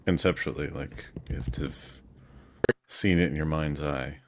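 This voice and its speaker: Gravelly, low-pitched man chatting. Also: fairly even intonation, normal speed, a little hiss or hum. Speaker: conversation partner. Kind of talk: casual chat.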